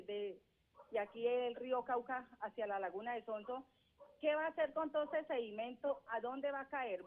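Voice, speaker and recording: A woman from Colombia calling in to a televised broadcast, asking an earnest, pleading question. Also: a little high. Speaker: caller. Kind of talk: phoned-in question. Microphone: telephone. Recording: tinny phone line.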